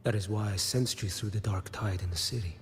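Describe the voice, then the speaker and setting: An actor playing a blind, watchful bodyguard in a video game, speaking in a measured, cryptic and formal way. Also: male voice, lowish voice, lightly acted. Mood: solemn, probing. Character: blind, solemn watchful male bodyguard and investigator. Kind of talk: scripted video game dialogue. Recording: long reverb, music underneath. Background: game music.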